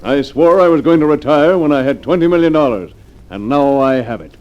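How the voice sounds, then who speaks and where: Muffled old radio recording, man acting a ruthless rich financier, animated delivery, gloating, self-satisfied, 1940s drama. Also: fairly high pitch, brisk, a little hiss or hum, close to an everyday voice. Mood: exultant.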